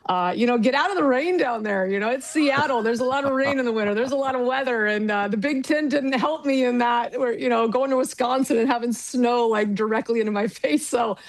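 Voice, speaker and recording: A woman's voice: on the high side, fairly quick, few pauses, low-quality recording. A middle-aged American woman, a sports broadcaster, talking casually and with self-deprecating humor in an interview. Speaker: sports broadcaster, radio play-by-play announcer.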